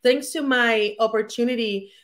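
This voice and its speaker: Guest: Puerto Rican woman, 40s, higher voice, energetic and candid, talking from home. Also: normal speed. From Puerto Rico. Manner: energetic, candid, frequent fillers and restarts. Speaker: guest, former attorney. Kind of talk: casual interview from home. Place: her home.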